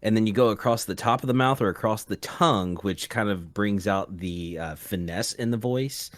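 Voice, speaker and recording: Low-voiced man, dry close sound. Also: moderate pace, no echo. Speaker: panelist, game master. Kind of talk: casual explanation in roundtable chat.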